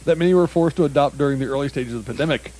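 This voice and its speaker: A man's voice: mid-range voice, racing, a little mellow, low-quality recording. A man co-hosting a comedy news podcast, reading strange stories aloud in casual banter, tripping over foreign words and laughing partway through sentences.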